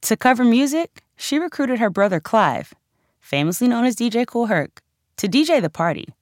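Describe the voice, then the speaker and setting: A woman's voice: on the high side, very animated, normal speed, dry recording. A woman reading her own nonfiction audiobook in a conversational, emphatic storytelling manner, close on the microphone. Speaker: author narrating own audiobook. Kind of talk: scripted audiobook narration.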